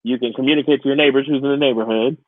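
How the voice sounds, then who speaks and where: A man's voice: mid-range voice, fairly even intonation, very fast, dry recording. Man on a thin, phone-like connection. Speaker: podcast co-host. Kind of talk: casual podcast chat. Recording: thin phone-like radio sound, dry.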